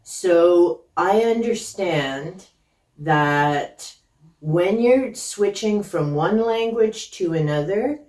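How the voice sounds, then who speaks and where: A woman's voice: low voice, a little mellow, recorded from a distance. A woman whose first language is Hungarian, talking casually and skeptically about books she read, rambling with side thoughts. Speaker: book vlogger. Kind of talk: casual book review talk. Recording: echoey room, voice from off-mic.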